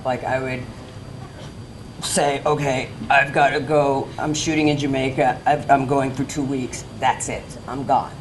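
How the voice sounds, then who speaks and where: Very low-voiced emphatic woman panelist. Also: little pitch movement, unhurried. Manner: emphatic, conversational. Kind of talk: panel discussion. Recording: noisy room recording.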